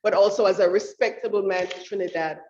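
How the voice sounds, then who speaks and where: A woman giving an academic talk about her research at a book event, speaking in a thoughtful, halting way with frequent restarts. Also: medium pitch, steady tone, mellow, slight background noise. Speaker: featured author at a book talk. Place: university lecture room. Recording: roomy, dull room audio.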